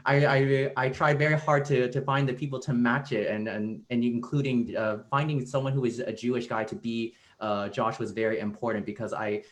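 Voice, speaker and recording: A man speaking as a stage director in a casual post-show discussion, thoughtful and halting as he searches for words. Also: mid-range voice, fairly even intonation.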